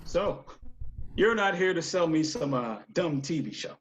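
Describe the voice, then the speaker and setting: A man's voice: fairly high pitch, animated, a little slow, highs cut off, a little hiss or hum. An actor playing a wry, emphatic male TV studio executive in a screenplay table read. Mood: skeptical, guarded.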